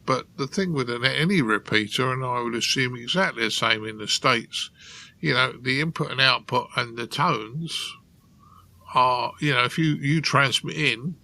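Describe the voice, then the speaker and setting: A man's voice: medium pitch, moderate pace, bright, forceful tone. A man hosting a podcast panel in casual conversation. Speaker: podcast host.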